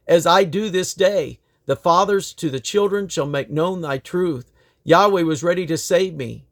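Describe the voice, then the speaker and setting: A man with a fairly high-set voice reads scripture aloud. His delivery is solemn and declamatory, and he leans on key phrases with emphatic rises and falls. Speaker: Bible reader. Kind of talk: scripture reading aloud from the King James Bible.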